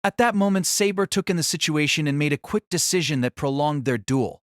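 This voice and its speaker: A man tells a fantasy story in a steady, even narration. His voice is a touch high and the sound is dry and close, with no room around it. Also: fairly quick, no room sound. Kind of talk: scripted audiobook narration.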